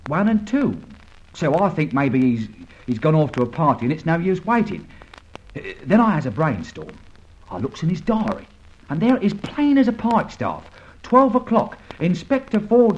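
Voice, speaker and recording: A muffled old radio recording carries a Cockney ex-burglar sidekick. His talk rambles and stumbles deferentially through homely slang, swinging up and down in pitch. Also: big pitch swings, normal speed, a little mellow. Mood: hesitant, eager. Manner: rambling, hesitant, colloquial storytelling. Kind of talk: old-time radio drama acting.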